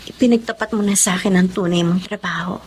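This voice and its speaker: An actress playing a principled, pleading young Filipina sweetheart in an audio melodrama. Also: female voice, medium pitch, steady tone, quick pace, a little mellow, a little hiss or hum, natural voice. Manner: earnest, imploring. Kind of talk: Filipino radio-style melodrama acting.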